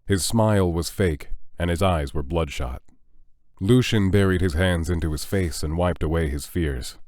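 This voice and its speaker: A man's voice: sits low, moderate pace, darkish tone, no room sound, clean studio sound. A man narrating a scripted audio drama as a storyteller.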